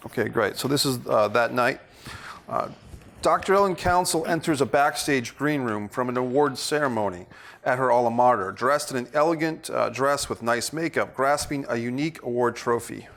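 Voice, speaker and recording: Mid-pitched man directing a table read. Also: moderate pace, fairly bright tone. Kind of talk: casual instruction and read-out stage directions.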